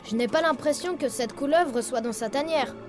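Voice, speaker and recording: A woman plays a young animal sidekick in a children's cartoon. The cub sounds very high, whiny and expressive, timid yet loyal. Music runs underneath. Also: normal speed, lightly acted. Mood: reluctant, grumbling.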